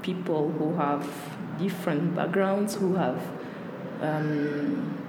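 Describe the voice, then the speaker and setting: A woman's voice: sits low, slow. A woman whose first language is Russian, from Rwanda, answering interview questions hesitantly as a podcast guest and often searching for words. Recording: echoey hall with loud background noise.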